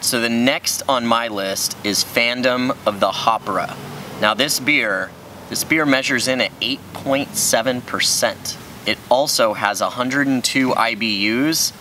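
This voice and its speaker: A man's voice: medium pitch, measured pace, bright, forceful tone, a little hiss or hum. A young man co-hosting a casual beer review on an outdoor brewery patio, giving frank, matter-of-fact opinions on each beer.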